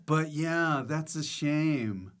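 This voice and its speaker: A man's voice: fairly high pitch, lively intonation, very slow, noisy background. Informal American man hosting a chat. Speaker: podcast host, comedian. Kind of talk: casual chat interview.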